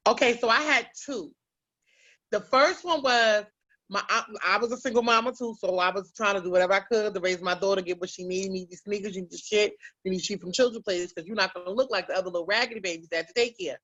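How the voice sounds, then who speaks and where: A woman's voice: medium pitch, fast talker. A woman, telling brash, profane comic stories on a comedy panel. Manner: brash, profane, animated storyteller. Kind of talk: casual comedic storytelling. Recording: lo-fi recording.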